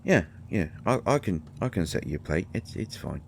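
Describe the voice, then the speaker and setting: A man playing a tiny, gruff but shy and caring potion-brewing healer in an audio drama, with a mottled British accent and a halting, stammering delivery. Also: deep voice, sing-song, a little hiss or hum, exaggerated voice. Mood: wistful, awkward. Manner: halting, stammering, mumbling asides. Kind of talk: intimate roleplay audio drama monologue.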